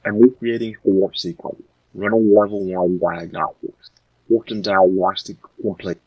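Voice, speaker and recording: A man voicing an emotionless computerized robot making flat, measured announcements through a distorting robot filter. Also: fairly low pitch, slightly rough, muffled recording, soft background music. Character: mechanical computerized robot announcing a startup sequence. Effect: robot filter with static, distortion, phaser and wah-wah.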